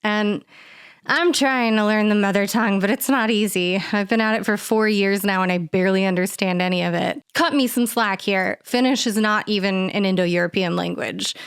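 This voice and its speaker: A woman's voice: medium pitch, normal speed, smooth, dry recording. A woman from the United States hosting a video essay, narrating a recipe with dry, sarcastic humor and casual swearing. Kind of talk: casual voice-over narration over cooking footage.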